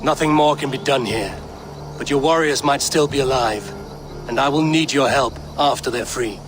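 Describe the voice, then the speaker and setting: An actor playing a commanding, stern Viking raider in a video game, with a measured, authoritative manner. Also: male voice, medium pitch, background noise, natural voice. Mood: resolute.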